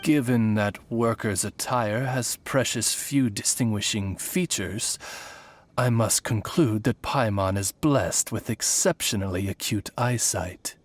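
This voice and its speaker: A man's voice: on the low side, unhurried. A man voicing a dignified, loyal raven familiar in a video game, formal and eloquent like a servant. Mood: courteous, dignified. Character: courtly male raven familiar, dignified, loyal butler temper. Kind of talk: game character acting.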